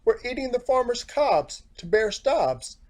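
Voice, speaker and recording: Man putting on a squawky old crow, raised pitch. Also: sing-song, measured pace, darkish tone, heavily acted. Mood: brash. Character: brash, indignant old farm crow.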